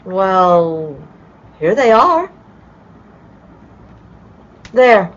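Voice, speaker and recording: A woman playing a polite, earnest young detective's companion in an old-time radio play, courteous and gentle. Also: mid-range voice, very animated, extremely slow. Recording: muffled video-call audio.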